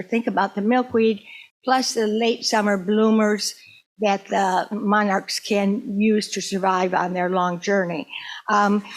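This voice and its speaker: A woman presenting an informal educational talk to a group, in a conversational, somewhat halting way. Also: medium pitch, rough recording.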